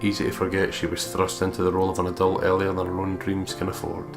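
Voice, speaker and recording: Deep, creaky-voiced man reading game narration. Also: monotone, quick pace. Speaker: let's play co-host. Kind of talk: reading game narration aloud, casual commentary. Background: game music.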